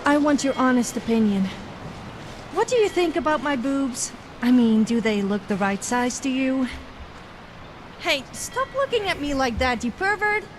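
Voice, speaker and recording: A woman voicing a brash, teasing young woman companion in a game, cheeky and quick to scold. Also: high voice, expressive, background noise. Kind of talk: voiced game character from a fan mod.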